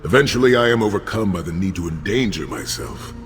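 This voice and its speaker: A noble warrior companion with a deep voice in long hall reverb. He is stoic and earnest, choosing formal, measured words like a philosopher of the battlefield in a fantasy game. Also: expressive. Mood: earnest, reflective. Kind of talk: video game character acting. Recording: long hall reverb, clear music behind.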